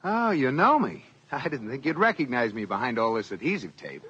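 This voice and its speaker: An actor playing a dry, exasperated banker husband in 1940s radio comedy style, with sarcastic, deadpan comebacks. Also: male voice, mid-range voice, brisk, husky, lo-fi sound, heavily acted. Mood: sardonic. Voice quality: sardonic, deadpan.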